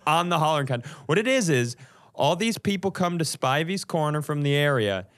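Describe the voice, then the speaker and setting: A man hosting a podcast in casual comedic chat, telling stories in an animated way with mock-serious build-ups. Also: a little high, brisk. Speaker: podcast host.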